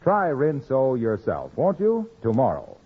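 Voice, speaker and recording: A man's voice: medium pitch, wildly swooping pitch, a little slow, slightly rough. A man working as a 1950s radio announcer, with polished, emphatic sales delivery. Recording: tinny old radio recording.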